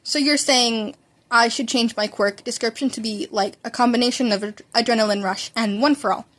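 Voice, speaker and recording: Woman doing excitable teen hero boy from a story, high voice, rambles and stammers, polite. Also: moderate pace, close to an everyday voice. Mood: chatty, thoughtful.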